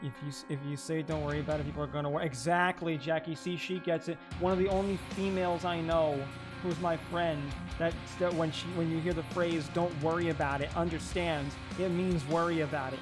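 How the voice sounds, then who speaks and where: A man's voice: fairly high pitch, fairly even intonation, a little fast, few pauses. A man streaming casual chat, given to teasing, sweary banter. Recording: music playing behind the voice. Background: plucked guitar music.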